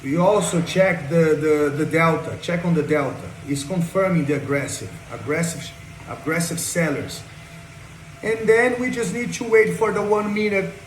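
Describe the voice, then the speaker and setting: A young man from Brazil with a Brazilian Portuguese accent, enthusiastically walking viewers through his day trade and repeating "check this out". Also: a little high. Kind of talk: screen-share trade breakdown. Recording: echoey room with faint noise.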